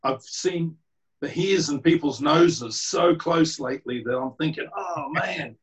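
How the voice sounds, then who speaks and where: Croaky New man at a council meeting. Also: on the high side, unhurried, rough recording. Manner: chatty, rambling, earnest. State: croaky voice, clearing throat. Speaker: councillor presenting a report. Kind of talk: council meeting presentation over video call. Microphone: video call.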